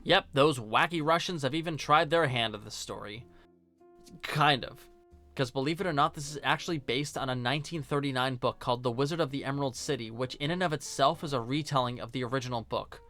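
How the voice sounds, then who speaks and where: A man's voice: mid-range voice, fairly quick, sharp tone, soft background music. A man giving scripted video-essay commentary in a sarcastic, informal, joking manner. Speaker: video reviewer and host.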